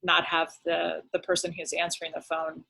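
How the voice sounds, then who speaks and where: Woman, government director, interview answers, lowish voice, measured and halting explanations. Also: completely flat delivery, vocal fry, pushed and bright, low-quality recording. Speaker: state agency director.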